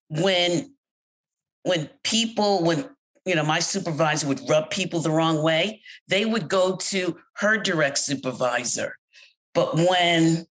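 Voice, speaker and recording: A middle-aged American woman with a slightly low voice tells her story candidly in an interview. Her delivery turns lively and expressive whenever she quotes other people. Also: low-quality recording. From United States. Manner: candid storyteller, animated when quoting others. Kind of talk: personal account in an interview.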